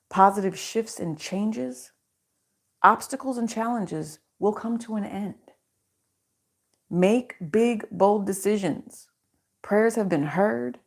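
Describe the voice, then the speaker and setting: A woman with a low voice hosting a casual livestream card reading into a microphone, chatty and reassuring as she speaks in affirmations. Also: vocal fry, darkish tone. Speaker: tarot reader and channel host.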